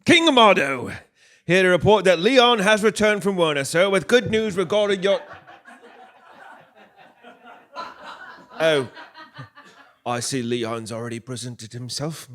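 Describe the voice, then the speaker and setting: A man's voice: high-pitched, expressive, normal speed, a bit bright. A man playing a proud, naive royal servant, deferential and earnest, in a comedic stage play. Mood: dutiful. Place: theatre stage before live audience.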